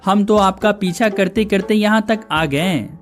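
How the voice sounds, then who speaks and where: A performer plays a greedy, idle young village man in a cartoon moral tale. He talks in exclamations, in a middling pitch, with music playing behind him. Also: measured pace, lightly acted. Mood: worried. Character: greedy, lazy young village brothers seeking treasure. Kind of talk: cartoon moral story dialogue.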